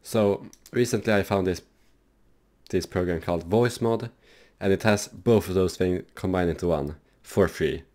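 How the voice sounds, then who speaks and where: A man hosting a casual, loose software tutorial for his gaming channel, rambling with lots of fillers. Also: deep voice, moderate pace.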